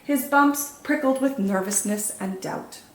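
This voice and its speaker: Female children's storytime reader, mid pitch, animated and clearly phrased, echoey room with faint noise. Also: a little slow. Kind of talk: expressive picture-book narration.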